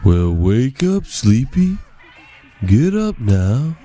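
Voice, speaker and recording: A man voicing a menacing, coolly polite cartoon villain with deliberate, sardonic delivery. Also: medium pitch, very animated, dark tone, soft background music, exaggerated voice. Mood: cool menace. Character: calm, menacing male interrogator, coolly polite.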